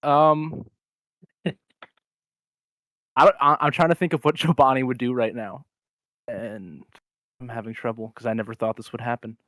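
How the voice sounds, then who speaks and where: Male player, bright and hesitant, dry close recording. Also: mid-range voice, steady tone, moderate pace, long pauses, dry recording. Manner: hesitant, with many ums and stammered restarts. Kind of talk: playing a tabletop game.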